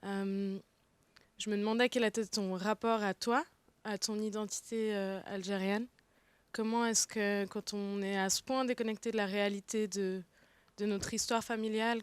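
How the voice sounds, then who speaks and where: Even-toned woman moderating a panel. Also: mid-range voice, clear, resonant tone, no echo. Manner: measured, hesitant questioning. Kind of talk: panel discussion. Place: festival panel.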